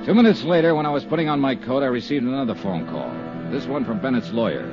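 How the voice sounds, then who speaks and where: An actor playing a wry radio private eye. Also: male voice, medium pitch, animated, quick pace, over music, lightly acted. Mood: narrating. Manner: clipped, dry first-person narration. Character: hard-boiled male insurance investigator, wry. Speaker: insurance investigator and narrator. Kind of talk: 1950s radio drama acting. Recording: dull lo-fi old radio broadcast.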